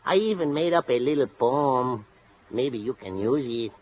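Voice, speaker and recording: A man's voice: medium pitch, animated, measured pace, close to an everyday voice. An actor playing a naive, polite Mexican handyman with a Mexican accent and earnest comic delivery in 1940s radio comedy. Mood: cheerful. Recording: muffled vintage radio recording, studio audience.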